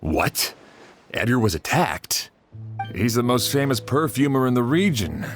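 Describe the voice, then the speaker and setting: A man's voice: medium pitch, big pitch swings, unhurried, faint music behind. A man playing a determined male town sheriff in a game, firm and decisive in how he speaks. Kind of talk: game cutscene voice acting.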